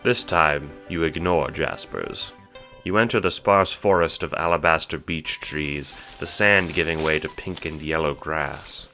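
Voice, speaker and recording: A man's voice: a bass voice, measured pace, pushed and bright, faint music behind. A man reading scripted second-person story narration for an audio drama.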